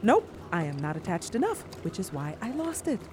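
A woman's voice: medium pitch, very animated, moderate pace, background noise, close to an everyday voice. A woman playing an exasperated daughter in an audio drama, with a sarcastic, blunt, casual way of talking. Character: exasperated, sarcastic daughter living in an old house. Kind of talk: naturalistic audio drama acting.

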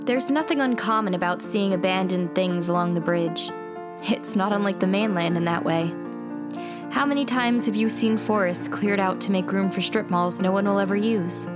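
Music plays under an actress as a weary female lighthouse broadcaster in an audio drama. She has a medium-pitched voice and talks candidly, with dry sarcasm and exasperated boredom. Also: normal speed, natural voice. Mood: wry, reflective. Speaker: watchtower broadcaster. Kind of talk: candid monologue radio drama.

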